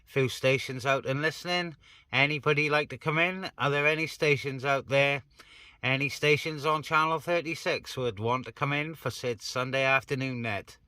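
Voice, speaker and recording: A man's voice: medium pitch, moderate pace, sharp tone, no room sound. A man operating CB radio, chatting casually and making calls in a hesitant, conversational way with radio phrases. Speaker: CB radio operator, net helper.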